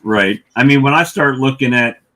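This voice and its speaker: A man's voice: mid-range voice, normal speed, sharp tone. A man in his fifties talking casually about his own views on a livestream with fellow hobbyists. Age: 50s. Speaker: beekeeper livestream host.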